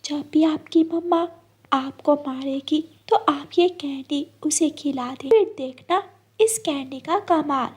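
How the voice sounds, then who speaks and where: A woman voicing a kind female fairy in a cartoon, speaking in a gentle, soothing manner. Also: high-pitched, slow pace. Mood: reassuring.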